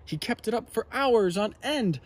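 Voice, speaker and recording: A man in his thirties reading a children's story aloud outdoors in an expressive, dramatic manner. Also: high voice, normal speed, slight background noise. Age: 30s. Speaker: storybook reader. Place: outdoors in a garden.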